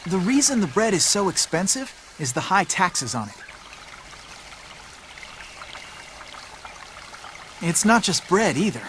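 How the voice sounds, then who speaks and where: A man's voice: high voice, sing-song, normal speed, background noise. A man voicing a streetwise, cynical boy in a video game, blunt and matter-of-fact, like a bossy older friend. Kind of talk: video game cutscene voice acting.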